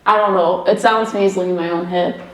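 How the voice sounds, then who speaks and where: A woman's voice: fairly low pitch, steady tone, fairly quick. Chatty young woman in an echoey basement. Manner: chatty, rambling, giggly, many fillers. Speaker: medium, paranormal investigator. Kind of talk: casual chat on a ghost hunt. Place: old basement room with echo.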